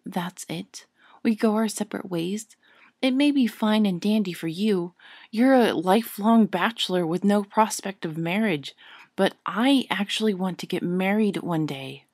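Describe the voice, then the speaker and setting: A woman's voice: medium pitch, measured pace, darkish tone, no echo, studio quality. A woman reading an audiobook story and voicing an indignant, stubborn young witch in accusatory, incredulous outbursts.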